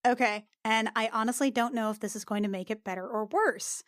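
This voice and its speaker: A woman's voice: a little high, brisk, no echo. Casual woman player in a dry recording. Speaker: tabletop game player. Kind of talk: casual table talk.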